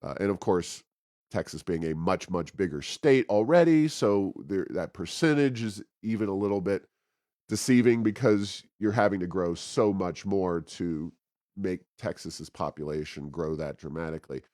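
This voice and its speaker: A man with a low voice talks politics as a co-host, in a conversational but opinionated way. His delivery is sardonic and leans on mocking emphasis. The sound is close and dry. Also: lively intonation, moderate pace, darkish tone, no room sound. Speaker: talk show co-host and commentator.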